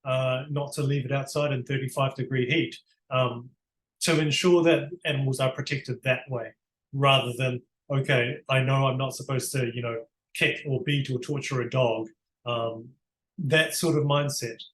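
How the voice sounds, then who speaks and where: A man's voice: medium pitch, fairly even intonation, moderate pace, fairly bright tone, roomy sound, slight background noise, low-quality recording. Earnest New Zealand-accented man explaining. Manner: earnest, explanatory, frequent restarts. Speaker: barrister, interview guest. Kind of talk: conversational interview.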